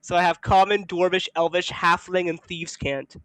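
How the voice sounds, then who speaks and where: A man's voice: high-pitched, little pitch movement, moderate pace, a bit bright, clear, resonant tone, no room sound, low-quality recording. A man, a player in a tabletop game, chatting casually about his character and laughing often. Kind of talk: casual chat between game sessions.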